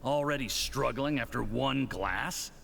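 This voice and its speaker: An actor playing a smug, menacing official in a video game, condescending and falsely genial. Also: male voice, medium pitch, measured pace, gravelly, a bit bright, a little hiss or hum. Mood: mocking. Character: smug, menacing male official pressing drinks on an underling.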